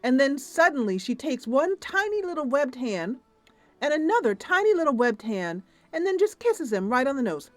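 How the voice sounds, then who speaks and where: A woman tells an improvised fantasy story as a game streamer. Her delivery is descriptive and expressive, with animated intonation. Faint music plays behind her. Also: high-pitched, normal speed, dry recording.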